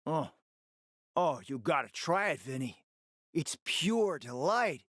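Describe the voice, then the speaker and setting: Pompous, swooping-voiced decadent fixer. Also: male voice, fairly high pitch, sing-song, slow pace, a bit bright, no echo, clean studio sound, full character voice. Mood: delighted. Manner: pompous, theatrical, condescending, savoring his words. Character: smug, decadent, flirtatious male gourmand fixer and supplier. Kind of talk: video game character acting.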